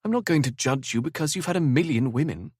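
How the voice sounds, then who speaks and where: A man doing a calm, firm young woman. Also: on the high side, quick pace, slightly rough, no room sound, studio quality, voice pushed up.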